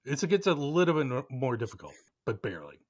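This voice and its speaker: A man's voice: mid-range voice, sing-song, quick pace, muffled recording. A middle-aged man hosting a podcast with casual banter. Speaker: podcast host.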